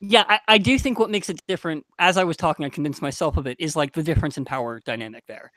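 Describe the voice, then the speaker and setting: A man speaking casually as a podcast panelist, halting and correcting himself as he thinks aloud. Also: on the high side, a bit bright, no room sound.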